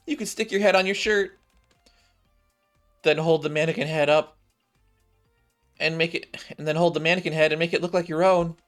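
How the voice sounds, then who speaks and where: Man putting on excitable, high-pitched young woman. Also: moderate pace, long pauses, faint music behind, close to an everyday voice. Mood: amazed. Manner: gushing, excitable.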